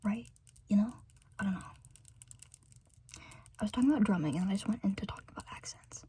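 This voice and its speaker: American woman, whispered ASMR rambler; mellow, soft, meandering chatter. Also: mid-range voice, a little fast, a little hiss or hum. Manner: meandering, filler-heavy, giggly chatter. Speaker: ASMR creator.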